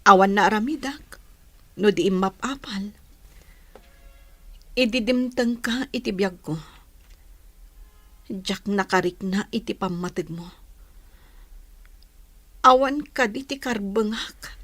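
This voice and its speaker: A woman's voice: mid-range voice, takes it slowly, pauses often, a bit bright, no room sound. A woman with an Ilocano accent acting in a radio drama as a sorrowful, reproachful wife who longs for love. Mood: sorrowful, pleading. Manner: plaintive, reproachful.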